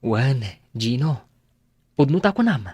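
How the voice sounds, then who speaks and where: An actor playing an excitable, loyal young friend in an Ilocano radio drama, expressive and emphatic, with an Ilocano accent. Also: male voice, medium pitch, slow pace, slightly rough, no echo, exaggerated voice. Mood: agreeable, resolved.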